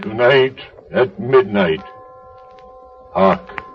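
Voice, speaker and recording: An actor playing a solemn, aged, dying spirit in a grave, ominous manner for 1930s radio. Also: male voice, lowish voice, extremely slow, a little hiss or hum. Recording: dull lo-fi 1930s radio recording.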